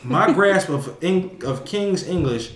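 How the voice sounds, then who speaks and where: A man co-hosting a podcast, with a deep voice and a profane, emphatic way of making his points. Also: animated, slow pace, reverberant. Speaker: podcast co-host. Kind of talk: casual chat.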